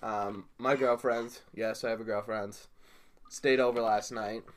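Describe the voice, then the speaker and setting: A man's voice: on the low side, fairly even intonation, measured pace, a little hiss or hum. Easygoing young man in casual podcast chat. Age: young adult. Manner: easygoing, swears, repeats phrases, laughs often. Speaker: podcast co-host.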